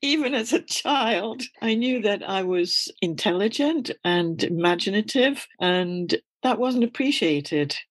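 A woman's voice: mid-range voice, expressive, a little slow, few pauses, slightly rough, dry recording. An older woman from Scotland, answering interview questions in a candid, reflective way and laughing often as she talks. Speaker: guest.

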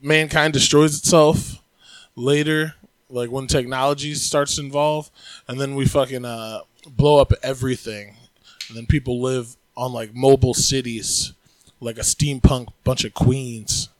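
A man's voice: mid-range voice, fairly even intonation, measured pace, dry recording, poor audio. Profane, joking man, dry close sound. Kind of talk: casual chat.

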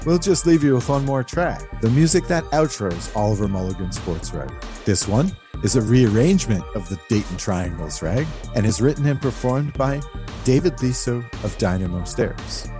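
A man's voice: medium pitch, animated, normal speed, dark tone, soft background music. A man hosting a podcast in disc jockey style, conversational with playful asides. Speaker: podcast host and co-producer.

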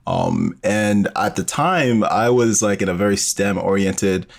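A man's voice: fairly low pitch, sing-song, a little slow, creaky. A young man talking casually as a guest in an interview, with plenty of conversational filler. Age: young adult. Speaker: guest, podcast co-creator.

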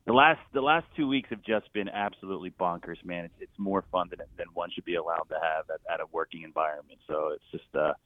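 A man's voice: sits low, fast, few pauses, no room sound. A man who works as a stadium announcer, chatting casually and enthusiastically as an interview guest over a phone line. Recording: thin phone-line sound, dry.

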